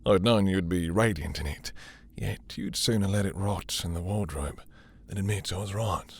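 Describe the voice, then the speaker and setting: A man playing a possessive, dominant vampire lord in intimate roleplay audio, with a husky, breathy voice and a teasing, seductive, condescending manner. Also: low voice, moderate pace, slight background noise. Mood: teasing, smug. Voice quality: husky, breathy, dropping into whispers.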